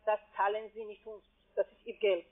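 A man with a foreign accent arguing as a phone sales agent, halting, repetitive and insistent. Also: sits high, flat delivery, a crowd in the background. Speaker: call centre investment agent. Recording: tinny narrow-band phone line.

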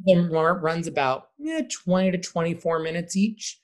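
A man's voice: a little high, normal speed. A man who works as a theater teacher, giving explanations as a guest in a conversational interview.

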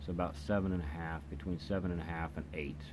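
A man's voice: sits low, fairly even intonation, fast, a little mellow. A man giving a casual, informal product demonstration as a hobbyist reviewer, speaking somewhat hesitantly. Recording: noisy home video recording. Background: clear background noise.

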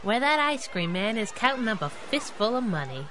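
Over clear background noise comes a plucky young superhero sidekick. Her voice is fairly high and very animated. She is teasing and brash, in radio-adventure style. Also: normal speed. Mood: playful teasing. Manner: playful, brash. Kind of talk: 1930s-set radio superhero drama acting.

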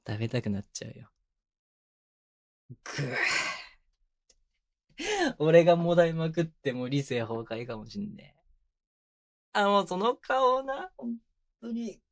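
A man playing a tender young boyfriend who teases and mock-scolds in casual, rough-edged speech, in an intimate binaural ASMR audio drama. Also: big pitch swings, unhurried, pauses often. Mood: doting. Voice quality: medium-low voice. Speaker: voice actor. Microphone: close binaural microphone.

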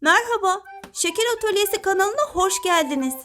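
A woman's voice: very high voice, expressive, fairly quick, sharp tone, soft background music. A woman narrating scripted children's stories and addressing young listeners in an instructive way. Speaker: narrator and channel presenter.

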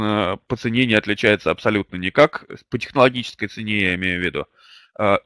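An older man with a slight cold speaks into a desk mic in a small room, lecturing a webinar audience and pausing often on long hesitant fillers. His voice sits at an ordinary middle pitch. The sound is dry and close, with no room echo. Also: fairly bright tone. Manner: hesitant, many drawn-out fillers. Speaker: webinar host and publishing trainer. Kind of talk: webinar lecture with personal anecdote. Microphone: webcam or desk mic at a computer.

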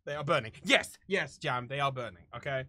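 An excitable game streamer giving casual live commentary, prone to shouting and cackling. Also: mid-range voice, brisk, dry recording, clean studio sound.

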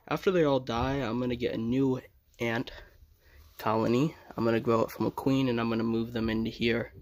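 A man's voice: mid-range voice, dry recording. A young man casually narrating his own home video as a vlogger. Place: indoors in a room at home. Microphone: handheld phone behind the camera.

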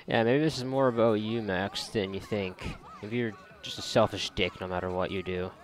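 A man's voice: lowish voice, fairly even intonation, normal speed. A man giving casual let's play commentary in a sarcastic, joking and profane manner. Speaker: gamer, video creator. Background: game music.

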